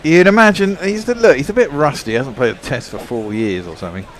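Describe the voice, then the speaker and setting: A man's voice: a little high, fast, slight background noise. A man commentating on cricket in casual chat, thoughtful and loose with filler words. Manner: thoughtful, lots of "you know" fillers.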